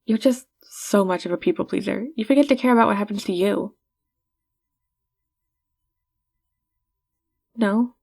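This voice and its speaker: A woman's voice: medium pitch, a little mellow, slightly rough. Haughty, teasing female villain played by a woman. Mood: persuasive, bitter. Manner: condescending, teasing, addresses listener intimately. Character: haughty female supervillain, teasing, secretly caring. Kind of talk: ASMR roleplay acting.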